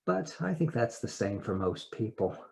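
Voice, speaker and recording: Man giving an expressive dramatic essay reading. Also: fairly low pitch, vocal fry. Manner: expressive, theatrical, with deliberate pauses and emotional swells. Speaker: actor reading an essay aloud. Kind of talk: dramatic reading of a first-person personal essay.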